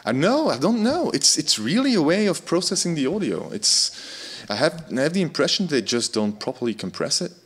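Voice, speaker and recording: A man's voice: fairly high pitch, sing-song, normal speed, few pauses, a bit bright, husky. A man whose first language is Dutch, chatting on a video call with many restarts and emphatic stresses. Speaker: host. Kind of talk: casual video-call chat.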